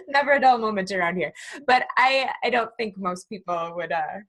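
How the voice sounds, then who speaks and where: A woman's voice: medium pitch, very animated, normal speed, a bit bright. A woman hosting a podcast in an upbeat, chatty way, affirming her guest and asking follow-up questions. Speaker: podcast host. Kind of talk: casual interview hosting.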